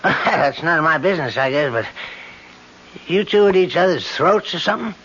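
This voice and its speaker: A man's voice: a little high, lively intonation, moderate pace, fairly bright tone, husky. An actor playing a friendly, nosy man at a boarding house in an old-time radio drama, informal and inquisitive. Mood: curious. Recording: muffled, noisy old radio recording.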